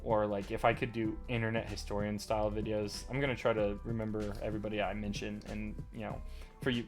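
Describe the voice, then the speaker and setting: A man casually talking while he plays a game, halting and rambling with a slight stutter and lots of fillers. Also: lowish voice. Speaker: gaming channel host. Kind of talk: casual commentary while playing. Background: faint lo-fi music and keyboard clicks.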